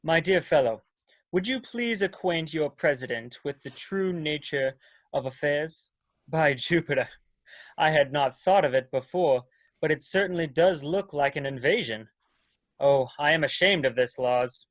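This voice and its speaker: An actor playing a courtly, earnest leader of a space expedition, with a formal, old-fashioned, oratorical manner in a dramatic reading. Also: male voice, on the high side, lively intonation, unhurried, fairly bright tone, no echo. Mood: courteous, puzzled, then flustered, apologetic. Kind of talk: dramatic reading of science fiction.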